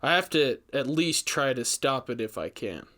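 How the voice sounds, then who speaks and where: A man's voice: mid-range voice, lively intonation, moderate pace, bright, forceful tone. A man voicing an earnest, troubled young forest ranger in a storybook reading, thoughtful and hesitant. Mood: haunted, earnest.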